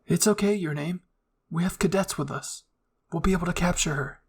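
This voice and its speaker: A man's voice: sits high, fairly quick, a little hiss or hum, voice pushed up. A man voicing an earnest, anxious teenage boy soldier in an anime story. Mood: urgent. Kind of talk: anime fan-fiction roleplay.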